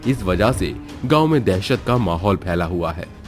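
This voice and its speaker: Deep-voiced male horror story narrator. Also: expressive, measured pace, faint music behind. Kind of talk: scripted dramatic horror narration in Hindi.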